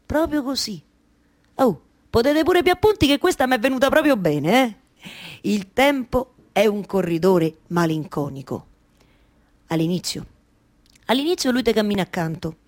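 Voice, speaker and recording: An actress plays a woman worn by endless waiting, melancholy yet wry. Her fairly high voice carries a Roman Italian accent. She tells her tale as a theatrical radio monologue, colloquial and intimate, circling back on phrases like an incantation. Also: lively intonation, normal speed, lightly acted. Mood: wistful, intimate. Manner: incantatory repetition, shifting from declaiming to intimate colloquial storytelling. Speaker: stage actress and storyteller.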